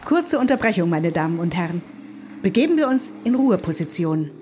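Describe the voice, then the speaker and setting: An actress playing a lecturer who gives a composed talk to an audience, with music behind. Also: female voice, on the low side, sing-song, fairly quick. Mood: calm. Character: female lecturer addressing a listening audience.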